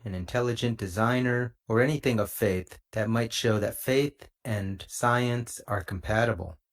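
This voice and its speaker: Middle-aged guy doing podcast host stuff, medium pitch, measured and clearly worded. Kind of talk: podcast introduction and interview.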